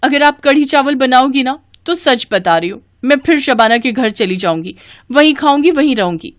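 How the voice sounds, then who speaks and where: Woman putting on a high-pitched fussy young bride. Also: lively intonation, normal speed, no echo, clean studio sound. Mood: fussy, defiant.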